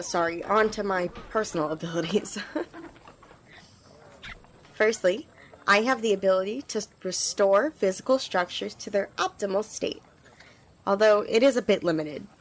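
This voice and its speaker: A woman's voice: medium pitch, slight background noise, natural voice. A woman playing an eager, apologetic young squire in an ASMR fantasy roleplay, rambling and stammering as she speaks to the listener. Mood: proud, explaining. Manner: rambling, hesitant, stammering, giggly. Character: eager, flustered young female magic-wielding squire, apologetic.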